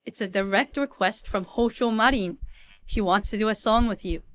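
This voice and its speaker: A woman playing a polite, coaxing talent agency staffer in an animated drama. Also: mid-range voice, moderate pace, dry recording, natural voice.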